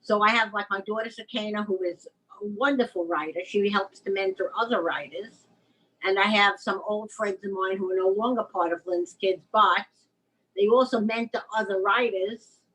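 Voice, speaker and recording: A middle-aged woman hosts a video call, her voice husky. She explains at length in an eager, open way. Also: mid-range voice, moderate pace, fairly bright tone, low-quality recording. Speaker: host, writing mentor. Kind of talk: casual video-call chat.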